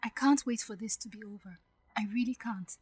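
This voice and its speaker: A woman playing an uneasy young woman in an audio drama, in a breathy, hushed voice. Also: a little high, brisk, no echo, clean studio sound.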